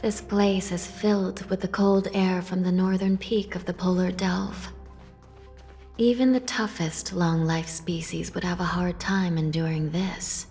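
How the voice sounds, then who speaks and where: A soft-spoken video game lady of the netherworld. Her voice sits a little low, reflective and breathy, with measured phrasing. It rings in a long reverb over soft music. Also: steady tone. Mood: cool, unimpressed. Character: soft-spoken, wistful female resident of the Netherworld.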